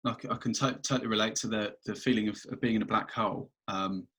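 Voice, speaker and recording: A man hosting a podcast, asking halting questions and affirming with repeated "sure, sure". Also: lowish voice, fairly even intonation, a bit bright, breathy edge. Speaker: podcast host. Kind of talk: interviewing.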